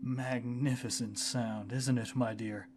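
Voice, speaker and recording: A man playing an affectionate, scholarly wizard boyfriend in an intimate fantasy roleplay audio, speaking gently in eloquent, formal phrases. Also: medium pitch, fairly even intonation, slow, lightly acted. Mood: earnest, tender.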